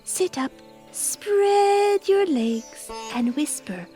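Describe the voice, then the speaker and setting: Woman doing a gushy new-age yoga teacher, super slow, swoopy, audio comedy. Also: very high-pitched, lively intonation, dark tone, soft background music, exaggerated voice. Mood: serene, affected. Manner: slow, soothing, affected. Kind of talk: comedic audio play acting.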